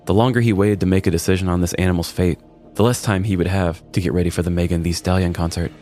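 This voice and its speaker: A man's voice: deep voice, fairly even intonation, quick pace, dark tone, faint music behind. A man, a podcast host, narrating a scripted comic story in a wry, dramatic storytelling manner.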